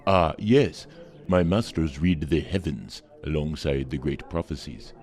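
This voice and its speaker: A man's voice: a bass voice, expressive, measured pace, darkish tone, heavily acted. A man playing an earnest, devout attendant of the Magi who stammers a little and speaks courteously. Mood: explaining. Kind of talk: audio drama acting.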